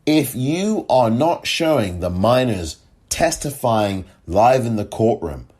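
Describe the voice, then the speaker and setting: Husky, indignant man podcasting. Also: takes it slowly. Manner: animated, sarcastic, jumps to high pitch when indignant. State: voice cracking from excitement. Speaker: podcast host. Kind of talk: solo commentary podcast.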